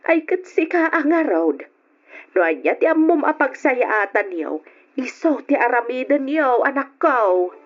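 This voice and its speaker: An older mother played by a woman in an old radio serial, speaking with a Filipino accent in a fairly high voice. She questions and gently admonishes, and the recording is dull and lo-fi. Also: very animated, measured pace, exaggerated voice. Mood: concerned. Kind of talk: old-fashioned Ilocano radio drama acting.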